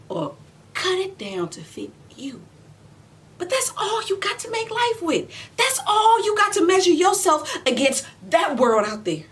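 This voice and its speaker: A woman in her forties playing a weary, proud, loving mother in an emotional stage monologue, speaking deliberately with heavy pauses. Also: full character voice. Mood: firm, tender. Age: 40s. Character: weary, proud middle-aged mother and widow, wounded but loving. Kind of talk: emotional stage monologue, self-taped audition. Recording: distant mic, noticeable low rumbling noise.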